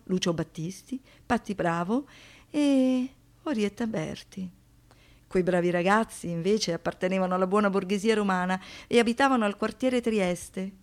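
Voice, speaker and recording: A woman performing as a narrator in radio theatre, with deliberate, literary phrasing and dry irony. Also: medium pitch, a little mellow, close to an everyday voice. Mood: nostalgic, then grave. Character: woman narrator recalling seventies youth and crime.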